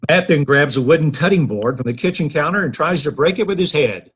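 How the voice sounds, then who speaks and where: A man with a husky voice reading scripted narration of stage directions. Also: on the high side, lively intonation, brisk, fairly bright tone. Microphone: video call.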